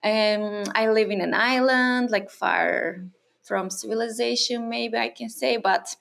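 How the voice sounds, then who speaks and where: A woman in her thirties from Turkey, a native Turkish speaker, answers an interviewer's questions. Her voice sits a little high and has a gravelly edge. She talks thoughtfully, pausing and restarting as she goes. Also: fairly even intonation, fairly bright tone. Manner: thoughtful, with frequent fillers and restarts. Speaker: interview guest, freelancer. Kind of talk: casual interview conversation. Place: her home on an island.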